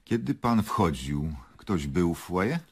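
Formal interrogator, radio play, deep voice, lively pitch movement, husky, short probing questions. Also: male voice, takes it slowly, exaggerated voice. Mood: methodical, probing. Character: male investigator questioning a witness, formal and methodical. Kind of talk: naturalistic radio play dialogue.

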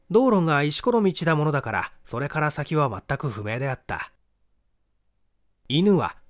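A man giving a measured, expressive reading of a literary detective story as narrator. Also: a little high. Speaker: audiobook reader, stage actor.

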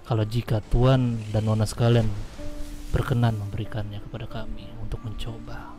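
A gang member played by a man negotiates in a game roleplay with formal deference. His voice is on the low side and comes in halting phrases, with music clearly playing over the game chat. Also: level tone, close to an everyday voice. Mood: polite, confident. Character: deferential male gang member asking for weapons. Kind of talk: improvised online game roleplay.

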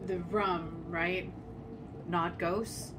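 A woman playing a skeptical, sardonic sidekick in a fantasy audio drama, with a deadpan, teasing manner. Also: on the low side, very slow, noisy background. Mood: dry impatient.

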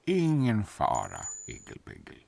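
Deep-voiced older man narrating a bedtime story. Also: wildly swooping pitch, creaky. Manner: soft, lulling, sing-song. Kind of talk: gentle children's bedtime narration. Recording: studio narration with music behind.

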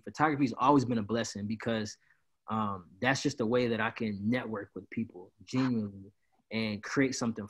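A man's voice: on the low side, a bit bright, low-quality recording. A man in his twenties from Illinois, a party promoter chatting loosely on a video call, rambling and often saying "bro". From Peoria, Illinois. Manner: laid-back, rambling, says "bro" often. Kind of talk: casual interview. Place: indoors, small room at home.

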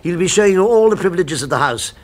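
A genial gentleman diner in a seventies radio drama. His voice sits fairly high for a man. He is urbane, chatty and fond of teasing. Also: lively intonation, quick pace. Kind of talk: 1970s radio drama acting.

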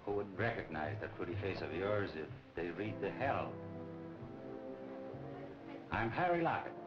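An actor playing a hard, middle-aged nightclub racketeer, curt and slangy, in 1940s crime film style. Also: male voice, sits low, lively intonation, a little slow. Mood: smooth flattery. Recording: dull 1940 film soundtrack, music behind.

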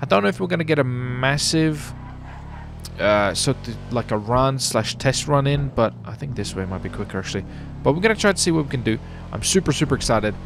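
Excitable man hyping up gameplay. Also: mid-range voice, a little fast, vocal fry, background noise. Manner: excitable, hyped, swings loud when surprised. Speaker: gameplay streamer. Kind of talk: roleplay gameplay commentary.

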